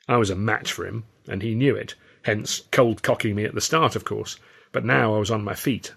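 A British man narrates an audiobook in the first person. His voice sits a little low with a husky, breathy grain. The delivery is expressive and wry. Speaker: author reading his own novel.

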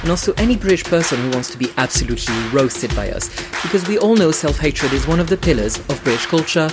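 A woman's voice: sits low, lively intonation, fast, music in the background. A podcast host from France with a French accent, opening the show in a teasing, playful way and chatting casually with her guest. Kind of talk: casual chat.

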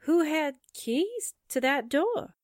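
A woman playing an excitable, wide-eyed sidekick with an exclaiming delivery in a vintage-style radio drama. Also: sits high, slow, dry recording, studio quality, full character voice. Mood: curious. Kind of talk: 1940s radio drama acting.

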